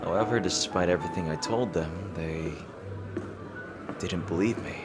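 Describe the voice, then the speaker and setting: A man playing a guarded, flustered, gently teasing rival fantasy king in an intimate roleplay audio drama, speaking hesitantly. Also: deep voice, loud music behind the voice, close to an everyday voice. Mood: earnest, subdued. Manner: hesitant, halting.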